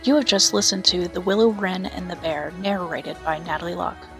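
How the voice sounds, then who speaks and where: A woman's voice: lowish voice, steady tone, moderate pace, vocal fry, loud music behind the voice. A woman reading a scripted children's fairy tale as an audiobook narrator.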